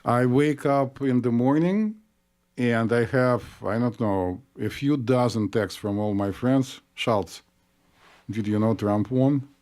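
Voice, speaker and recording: A man with a Russian accent, co-hosting a psychology podcast in a measured, explanatory way with frequent pauses. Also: medium pitch, darkish tone. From Soviet Union. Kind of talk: casual podcast discussion.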